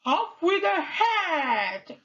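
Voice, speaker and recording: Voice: very high voice, sing-song, lo-fi sound, pitched above the performer's own voice, exaggerated voice. Shrieking, furious Queen of Hearts. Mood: furious, commanding. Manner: shouted, drawn-out imperious commands.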